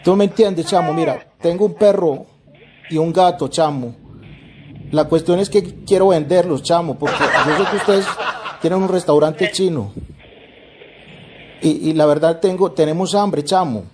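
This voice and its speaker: Noisy, high-pitched Venezuelan man on a call. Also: normal speed. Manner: pleading, hesitant, repeats "chamo". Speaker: prank caller, channel host. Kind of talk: prank phone call, playing it straight. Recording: very noisy phone prank recording.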